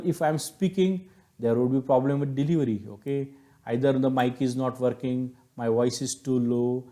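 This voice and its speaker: A male teacher with an Indian accent delivers an explanatory lecture. His voice is mid-pitched with a soft, mellow tone. He speaks didactically, leaning on key words and restating each point in other words.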